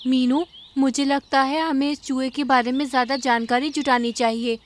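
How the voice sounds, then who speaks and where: A woman's voice: high voice, fairly even intonation, normal speed, a little hiss or hum, close to an everyday voice. A woman voicing a concerned, clever female bird in a cartoon, speaking earnestly. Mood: suspicious, concerned. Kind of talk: cartoon character acting.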